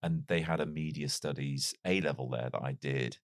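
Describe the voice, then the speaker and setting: A very deep male voice with a mild British lilt from a man who grew up in North Wales. He tells stories in a reflective, self-deprecating way. The sound is dry and close, as if recorded on a studio mic. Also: steady tone, moderate pace, dry recording. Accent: mild British English, not strongly Welsh. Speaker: podcast guest. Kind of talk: casual interview storytelling.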